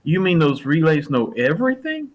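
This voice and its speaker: A man's voice: on the high side, wildly swooping pitch, normal speed. Mild, folksy shopkeeper dad, played by a man. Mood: curious. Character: mild, folksy small-store owner, henpecked father. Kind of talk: hobby radio play acting.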